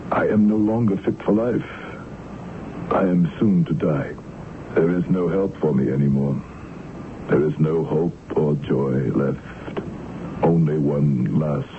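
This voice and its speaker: An actor playing a tormented, guilt-ridden sea captain in a radio drama, solemn, weary and melodramatic. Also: male voice, rumbling low. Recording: muffled, noisy old radio broadcast.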